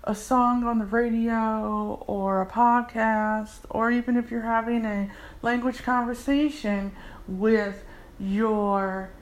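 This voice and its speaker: Woman tutor, slightly high voice, slow and casual explainer. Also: poor audio. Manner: unhurried, explanatory, with fillers. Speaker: language tutor. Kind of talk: casual instructional talk.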